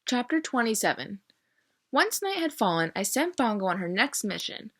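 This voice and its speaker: A woman teacher giving a read-aloud lesson, narrating a children's novel in an expressive, instructive way. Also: a little high, moderate pace.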